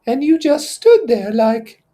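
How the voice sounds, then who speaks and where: Man voicing an insistent, high-pitched schoolboy, Piggy. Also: lively intonation, slow, warm tone, exaggerated voice. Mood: indignant. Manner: ungrammatical, insistent speech. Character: Piggy, indignant, eagerly organizing schoolboy. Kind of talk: character voice in novel read-aloud.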